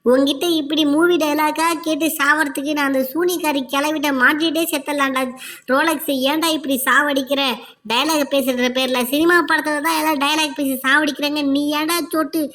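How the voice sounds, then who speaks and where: A high, bright young cartoon boy, anxious and easily exasperated, as a woman voices him. He scolds his friend in rapid, complaining bursts. The voice is sharp and light. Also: fairly even intonation. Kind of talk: Tamil cartoon voice acting.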